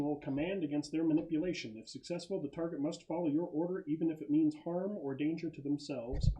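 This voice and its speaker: A man's voice: a little high, dark tone, poor audio. A man acting as game master, talking casually and explaining rules at a tabletop session. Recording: clear background noise, clicks.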